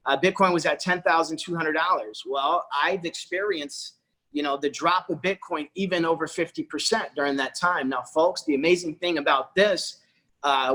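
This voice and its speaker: A man's voice: on the high side, bright, forceful tone, rough recording. A man giving a persuasive sales presentation on a corporate video call, often calling out to his audience. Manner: persuasive, repeats "folks" and "okay".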